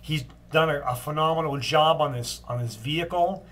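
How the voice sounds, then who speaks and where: A man's voice: fairly high pitch, fairly bright tone, slight background noise. Stuffed-up older man, casual video diary. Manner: plain, unhurried talk with frequent ums and restarts. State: stuffed up from a cold. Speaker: long-distance walker giving a daily update.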